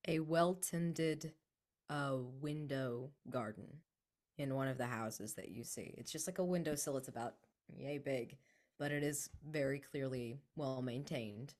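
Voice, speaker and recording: Male tabletop player, medium-pitched, casual improvised description. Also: a little slow.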